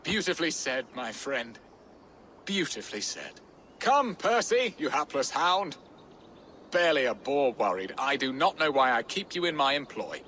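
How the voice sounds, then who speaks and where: A man's voice: medium pitch, very animated, moderate pace, bright, forceful tone, a little hiss or hum. An actor playing a boisterous, sentimental male jarl in a video game, with a theatrical and flowery manner. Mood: warm, grateful, then mock scolding.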